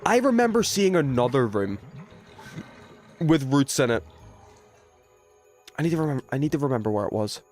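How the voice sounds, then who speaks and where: Male game streamer, very lively intonation, loose slangy chat, thinks out loud, music behind. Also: medium pitch, normal speed, long pauses. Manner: thinking aloud, self-questioning, trailing off; informal slangy speech. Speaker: game livestreamer. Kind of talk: casual livestream gameplay chat.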